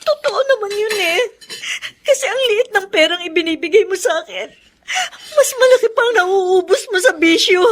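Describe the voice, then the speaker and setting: A long-suffering battered wife in a radio drama. Her voice sits extremely high, plaintive and faltering, meek yet aggrieved. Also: lively intonation, moderate pace. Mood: tearful reproach. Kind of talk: Filipino radio drama acting.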